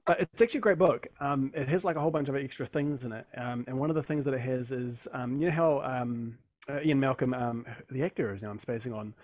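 A man's voice: medium pitch, fast, a little mellow. A man in his thirties in a casual film chat, rambling and interrupting himself as he goes. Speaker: podcast panellist. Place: room at home, video call.

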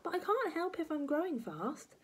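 A woman's voice: very high-pitched, very animated, moderate pace, pitched above the performer's own voice. A woman voicing a timid eight-year-old boy in a children's storybook, pleading and protesting with questions. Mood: earnest, questioning.

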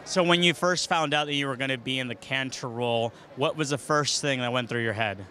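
A man's voice: mid-range voice, brisk, bright, forceful tone. A man in his thirties, interviewing guests with a handheld mic in a large indoor arena, upbeat and chatty. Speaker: event interviewer. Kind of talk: live event interview.

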